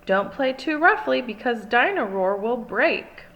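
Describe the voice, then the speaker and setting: A woman voicing a kindly, mild father pig in a children's storybook, speaking in a gentle, measured way. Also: on the high side, expressive, dull audio, pitched above the performer's own voice. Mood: cautioning. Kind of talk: children's storybook read-aloud.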